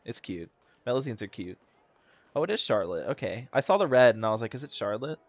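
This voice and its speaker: A man's voice: medium pitch, sing-song, fairly quick, dry recording. Young male streamer; loose, jokey, sarcastic live commentary. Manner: joking, meme slang, sarcastic. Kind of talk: casual live commentary over a game.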